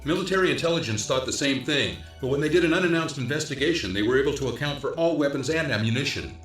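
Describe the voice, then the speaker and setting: A man playing a diligent special-ops investigator, explaining findings in a measured briefing tone in a video game. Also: medium pitch, animated, fairly bright tone, roomy sound, soft background music, lightly acted, rough recording. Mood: matter-of-fact. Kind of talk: English dub acting in a video game cutscene.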